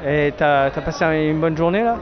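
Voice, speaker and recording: High-voiced man interviewing in a crowd. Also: moderate pace, dull audio. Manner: jokey, familiar, swears casually. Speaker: podcast host interviewing festival guests. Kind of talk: casual street interviews. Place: outdoor wine festival crowd.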